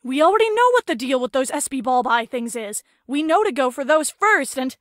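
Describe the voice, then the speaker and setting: Woman as high-voiced, blunt young squad member. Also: very animated, a little fast, fairly bright tone, no echo, clean studio sound. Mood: argumentative. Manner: matter-of-fact, challenging. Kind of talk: visual novel voice acting.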